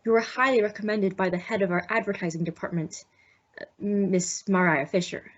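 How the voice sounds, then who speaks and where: A woman's voice: medium pitch, fairly even intonation, moderate pace, lightly acted. A woman playing a stuffy, worried male executive with a formal, hesitant manner in a radio mystery. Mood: formal, uneasy. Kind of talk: old-time radio mystery acting. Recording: dull lo-fi audio.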